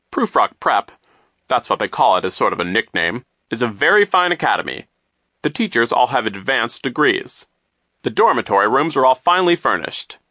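A man's voice: medium pitch, fairly bright tone, no room sound, clean studio sound. A man voicing a pompous, oblivious banker in an audiobook, with a hearty, self-satisfied and emphatic way of talking. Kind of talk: audiobook character voice.

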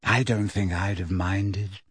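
A man voicing a vague, dreamy teenage witch girl who speaks slowly and bluntly in an audiobook story. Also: low voice, steady tone, slightly rough, no echo, pitched below the performer's own voice, full character voice. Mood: dreamy, detached. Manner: vague, slow, thoughtful, unhurried.

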